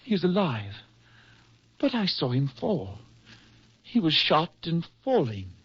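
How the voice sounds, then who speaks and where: An actor playing a haunted, fearful man, tense and halting, in 1940s radio drama style. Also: on the high side, huge pitch swings, a little hiss or hum, heavily acted. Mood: uneasy searching. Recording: dull 1940s radio recording with music.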